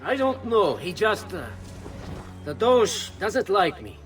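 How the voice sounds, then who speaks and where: An actor playing a sly, nervous government official in a video game, smug and then defensive. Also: male voice, sits high, very animated, takes it slowly, soft background music, full character voice. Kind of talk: video game cutscene acting.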